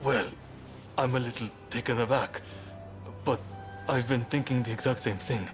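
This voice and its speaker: A man playing an earnest, soft-hearted samurai leader in a video game, speaking in measured, polite, formal phrases. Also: medium pitch, steady tone, soft background music, close to an everyday voice. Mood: tender. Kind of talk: video game cutscene acting. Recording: game audio with clear background ambience.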